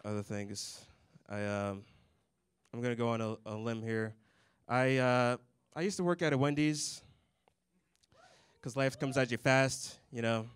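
A man's voice: mid-range voice, unhurried, long pauses, clean tone, no echo. Young man doing dry stand-up. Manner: deadpan, dry delivery with chuckles at his own jokes. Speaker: stand-up comedian. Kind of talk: stand-up set. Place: comedy club stage. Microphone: handheld mic.

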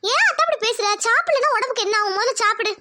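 A woman's voice: very high-pitched, lively intonation, rapid-fire, bright, forceful tone, slight background noise, pitched above the performer's own voice, exaggerated voice. A woman voicing a young village daughter, a soon-to-be bride who coaxes and pleads with her mother. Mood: pleading, coaxing. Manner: pleading, coaxing.

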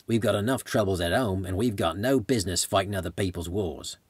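An opinionated Australian bushman, acted by a male narrator, grumbles in a plain-spoken way. The voice is husky and lower in pitch, a bar-room character from an audiobook tale. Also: fairly quick, no echo. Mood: grumbling. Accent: Australian bush.

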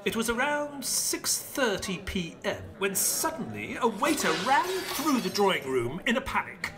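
A proper British gentleman doctor acted by a man tells his story in a formal, measured way. His delivery is dramatic and expressive, with lively pitch movement, over a music bed. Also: heavily acted. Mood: reflective. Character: middle-aged English army veteran doctor, proper, reflective. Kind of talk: dramatic first-person scripted narration.

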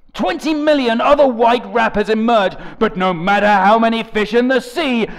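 Man reading lyrics with theatrical drama. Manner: theatrical, swinging between shouts and low mutters. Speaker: comedic dramatic reader of rap lyrics. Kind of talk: over-the-top dramatic reading.

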